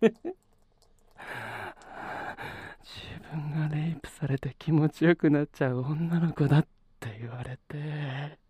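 A man playing a possessive, coaxing and domineering lover in an intimate adult audio drama, breathy and often dropping into whispers. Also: on the high side, fairly even intonation, moderate pace, mellow, no room sound. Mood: taunting.

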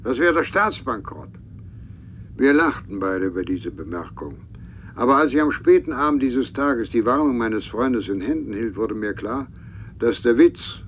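An actor playing a statesman who recounts events from a letter, formal and measured, in a radio play. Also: animated. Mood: grave, recounting. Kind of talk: German radio play acting. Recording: faint rumbling noise behind voice.

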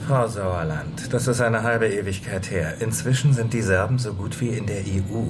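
An actor plays a weary official who brushes off a worried woman. He speaks low and slowly, in a dismissive tone. A clear rumble of noise runs behind him in this radio drama. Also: close to an everyday voice. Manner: dismissive, slow. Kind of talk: radio play acting.

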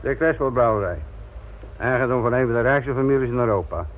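An actor playing a blunt, sardonic, middle-aged police inspector lecturing trainees in a Dutch radio play. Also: male voice, mid-range voice, fairly quick, lightly acted. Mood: brisk, scornful. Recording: tinny, noisy old radio play recording.

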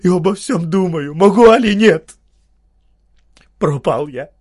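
A man voicing a desperate young ex-convict who shouts hoarsely and chokes on his words. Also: high voice, expressive, unhurried, voice pushed up, full character voice. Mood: anguished. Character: desperate young male ex-convict, wild and anguished.

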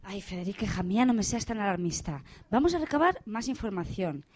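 A woman playing a motherly, determinedly optimistic female news anchor, gently chiding, in a parody radio broadcast. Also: mid-range voice, big pitch swings, fairly quick, slightly rough. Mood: soothing, newsy.

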